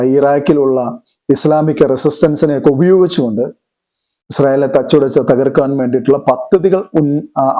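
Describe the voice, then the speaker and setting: A man explains current affairs as a commentator, deliberately, repeating words for emphasis. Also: mid-range voice, dark tone. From Kerala, India.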